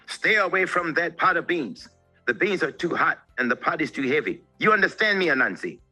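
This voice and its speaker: A man's voice: on the high side, sing-song, brisk, bright, forceful tone, faint music behind, pitched above the performer's own voice, full character voice, low-quality recording. A man voicing a caring but stern elderly grandmother spider in a children's storybook. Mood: caring, firm.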